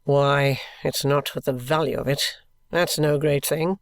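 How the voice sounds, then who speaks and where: A man's voice: a little high, a little slow, no echo. A man voicing a composed, dry-humoured former soldier in an audiobook. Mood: wry. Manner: calm, dry. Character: composed, wry ex-soldier, middle-aged man. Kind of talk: audiobook reading.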